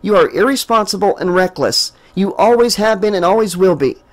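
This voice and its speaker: A man's voice: animated, measured pace, exaggerated voice. A man reading an audiobook, voicing a frantic, furious young woman in a raised, strained voice. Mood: scornful. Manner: high strained pleading and shouting.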